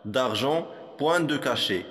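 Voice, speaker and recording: Man, poetry recitation, slow pace, didactic, medium pitch, long echoing reverb. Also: lively intonation, fairly bright tone. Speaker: poem reciter for a primary school lesson.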